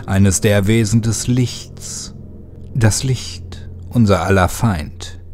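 A man voicing a menacing, scornful dark entity in a horror story, speaking in a slow, ominous, imperious way. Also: lowish voice, slight background noise. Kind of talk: horror audiobook character voice.

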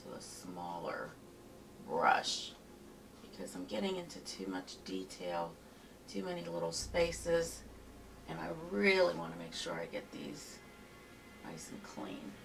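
Soft-spoken woman with a Pittsburgh accent, painting teacher, low, unhurried voice, picked up by a distant camera mic. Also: very animated, creaky, background noise. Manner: unhurried, murmurs to herself while painting. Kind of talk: step-by-step painting tutorial. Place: home art studio, indoors. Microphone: camera mic from behind, far off.

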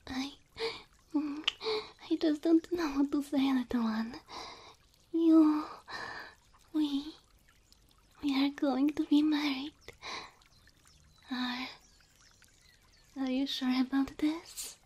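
A woman voicing a gentle, devoted, insecure cow girl girlfriend in soft, halting, intimate ASMR roleplay, often whispering. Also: high-pitched, steady tone. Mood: anxious, unsure.

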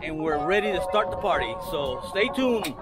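A man's voice: fairly high pitch, expressive, moderate pace, loud music behind the voice. A middle-aged man hosting a boating vlog, talking casually with many fillers and restarts. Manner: easygoing, rambling with fillers and restarts. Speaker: boating channel host. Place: open boat on windy water, outdoors. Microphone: action camera held close.

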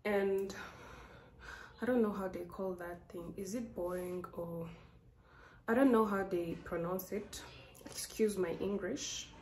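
A woman's voice: medium pitch, fairly even intonation, a little slow, darkish tone. A young woman from Rwanda with a Rwandan accent, chatting casually to a phone camera as a vlogger. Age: young adult. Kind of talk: casual vlog chat to camera. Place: small indoor rooms, speaking to camera. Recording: roomy echo, faint background noise.

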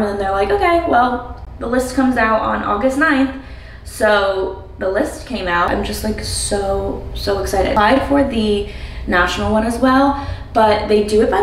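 An echoey, slightly distant recording of a young American woman. She talks in a chatty, casual way, as if to followers on a vlog. Faint noise sits behind her voice, which is of ordinary pitch for a woman. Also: gravelly. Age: 20s. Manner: chatty, frequent "like". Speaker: lifestyle vlogger. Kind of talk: casual chat to camera. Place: home bathroom with hard surfaces. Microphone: camera a step or two away.

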